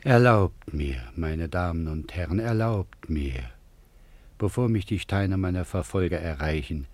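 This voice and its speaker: An actor playing a hunted, courteous orator who pleads formally in a radio play. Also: male voice, low-pitched, measured pace, a little mellow, no echo. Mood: solemn plea. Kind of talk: German radio play acting.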